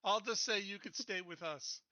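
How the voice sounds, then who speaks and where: A man chatting casually as a tabletop roleplaying player, hesitant and thinking out loud. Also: sits high, moderate pace, no echo. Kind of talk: casual game chat.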